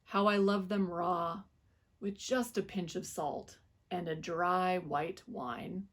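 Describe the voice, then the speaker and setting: A woman voicing a sly adult male fox who wails and pleads in slow, drawn-out lines while reading a storybook to children. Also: lowish voice, fairly even intonation. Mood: dreamy. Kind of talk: storybook read-aloud for children.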